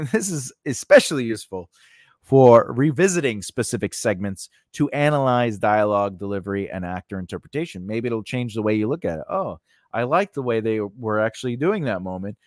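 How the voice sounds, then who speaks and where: A man's voice: lowish voice, lively intonation, brisk, a little mellow, no echo. A middle-aged man giving a conversational lesson as host, emphatic and speaking close into a studio microphone. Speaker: host of a screenwriting lesson channel. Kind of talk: conversational instructional talk to camera. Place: small indoor home studio with cloth backdrop. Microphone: close studio condenser mic on a stand.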